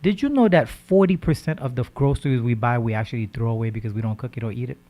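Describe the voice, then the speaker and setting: A man's voice: mid-range voice, fast talker, mellow. A man hosting a radio talk show. His voice sits in an ordinary middle register. He talks animatedly, stumbles over repeated words, and checks in with "right?" after nearly every point. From Haitian background. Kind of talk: casual health talk on radio.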